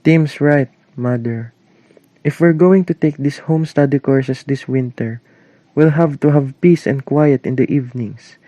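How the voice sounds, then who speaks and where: A man's voice: medium pitch, a little slow, dark tone, no echo, close to an everyday voice. Man playing a grumpy father, dry sound. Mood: firm. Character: grumpy, stern father working at home. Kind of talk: amateur English-language radio play.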